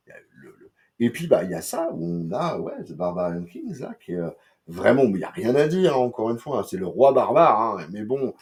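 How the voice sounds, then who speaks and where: A man from France talks through comics in a loose, rambling way, tossing in slang and swear words between fillers. His voice sits on the low side. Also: a little mellow. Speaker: comics reviewer. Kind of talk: casual crude-talking comics review.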